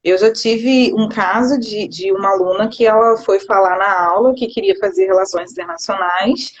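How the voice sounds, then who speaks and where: A woman's voice: medium pitch, moderate pace. A woman, a schoolteacher, reflecting and explaining her thoughts at length on a live video call. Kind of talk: casual conversation on a live stream. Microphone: phone or laptop on a live video call. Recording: dull lo-fi call audio.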